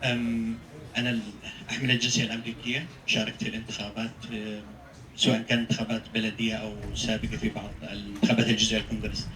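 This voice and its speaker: A man from Yemen giving a halting public statement at a press conference. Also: on the low side, little pitch movement, pushed and bright. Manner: halting, with frequent hesitations. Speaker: human rights lawyer speaking at press conference. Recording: echoey room, distant mic, faint noise.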